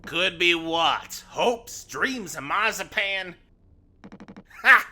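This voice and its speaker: Man playing a sharp, sardonic, gruff spaceship crewman. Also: sits high, lively intonation, very slow, slight background noise, exaggerated voice. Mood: mocking. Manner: sardonic, blunt, scornful. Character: gruff, sarcastic crewman of a doomed space freighter. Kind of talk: video game fan dub acting.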